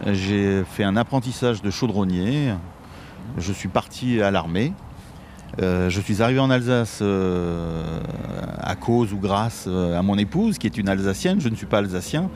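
A man in his fifties with a fairly low voice, answering interview questions in a relaxed, halting way. He pauses often and restarts his sentences. A faint hiss of background noise runs under the recording. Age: 50s. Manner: hesitant, many fillers and restarts. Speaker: interview guest, Masonic official. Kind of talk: casual interview talk.

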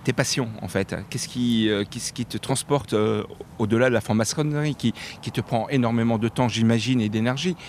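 A man hosting an interview, asking questions in a halting way with frequent fillers. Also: mid-range voice. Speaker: interviewer, show host. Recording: faint background noise.

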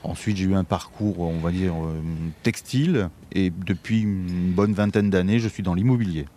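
A man's voice: sits low, moderate pace, warm tone. A man in his fifties, a guest in an interview, talking casually with many fillers and restarts. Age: 50s. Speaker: interview guest, Masonic official. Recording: faint background noise.

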